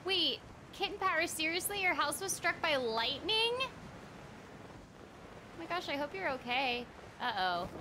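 A young woman chatting casually as she livestreams a game, excitable and giggly, often repeating her phrases. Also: sits high, unhurried, long pauses, background noise. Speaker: game streamer. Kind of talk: casual livestream gameplay chat. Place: indoor room at home. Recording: loud game music behind. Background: game music.

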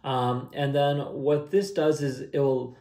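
A man's voice: medium pitch, little pitch movement, slow, mellow. A young adult man giving a casual, upbeat, conversational screen-share tutorial. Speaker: host of a software tutorial channel. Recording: roomy, echoey indoor sound.

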